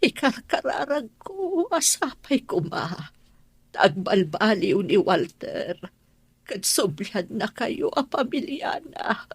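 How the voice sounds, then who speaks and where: A woman's voice: on the high side, big pitch swings, takes it slowly, slightly rough, no echo, exaggerated voice. A woman playing a frail, ailing old mother in a radio drama, weak and tearful as she pleads. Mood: weak, pleading. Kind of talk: Ilocano radio drama acting.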